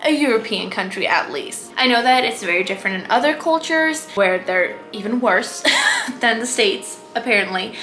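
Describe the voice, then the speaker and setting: A woman's voice: mid-range voice, pushed and bright, rough recording. A young woman from Sweden, giving candid, chatty advice to her camera in a casual vlog. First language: Swedish. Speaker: vlogger. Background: background music.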